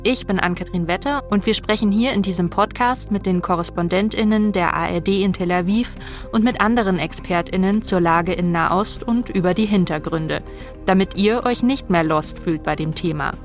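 A woman's voice: mid-range voice, normal speed. Woman hosting a podcast over music. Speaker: podcast host. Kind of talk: conversational news podcast hosting. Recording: music under the voice. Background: background music.

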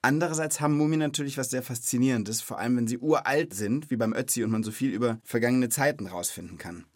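A man's voice: medium pitch, quick pace, a little mellow, no room sound. Casual male podcast host, close and dry. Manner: informal, curious, checks back with questions. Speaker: host of a children's knowledge podcast. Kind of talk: casual conversational explaining to a child.

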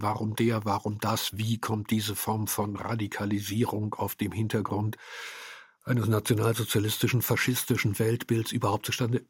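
A man's voice: mid-range voice, slightly rough, no room sound, clean studio sound. A man giving a reflective interview statement in long, intellectual, winding and formal sentences. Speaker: radio play maker.

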